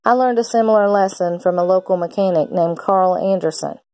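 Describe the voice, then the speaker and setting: A woman's voice: mid-range voice, brisk, dark tone, no echo. A woman reading a children's picture book aloud like a teacher, expressively acting out the characters' lines.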